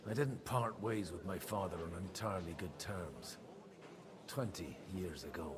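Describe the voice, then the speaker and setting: An actor playing a middle-aged medieval priest in a video game, world-weary and wry, with a dry, grumbling manner. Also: male voice, deep voice, measured pace, over a noisy crowd. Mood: weary, bitter. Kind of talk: video game dialogue acting.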